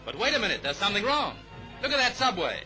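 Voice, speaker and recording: A British man narrating a 1930s newsreel in a brisk, declamatory, jaunty announcer's manner. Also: high voice, very animated, sharp tone, low-quality recording. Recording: vintage 1930s newsreel soundtrack, music underneath. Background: music.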